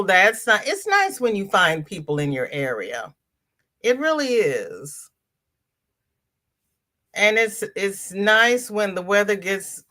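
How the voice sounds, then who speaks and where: A woman's voice: medium pitch, a bit bright. A congested woman hosting a casual live crafting chat, greeting viewers by name and reading out their comments. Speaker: livestream host.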